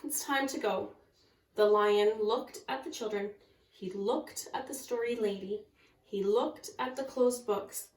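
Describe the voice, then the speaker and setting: A woman's voice: mid-range voice, measured pace, darkish tone. A woman kindergarten teacher talking to young children and reading a storybook aloud. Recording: echoey room, far from mic.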